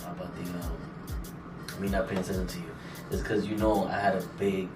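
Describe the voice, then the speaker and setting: A man in his late twenties from New York talks in a relaxed, teasing way during a couple's Q&A. His voice is very low and heard from a distance. An echoey room carries music behind him. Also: moderate pace. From New York, USA. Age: late 20s. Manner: laid-back, dry teasing jokes. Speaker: vlog co-host. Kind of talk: casual Q&A chat. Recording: roomy, distant mic, music underneath.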